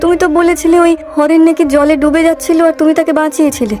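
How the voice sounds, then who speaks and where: A woman's voice: extremely high pitch, little pitch movement, fast, darkish tone, faint music behind. A woman voicing an anxious village wife and mother in a cartoon ghost story, pleading and repeating her words. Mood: worried, pleading. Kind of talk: Bengali animated ghost story voice acting.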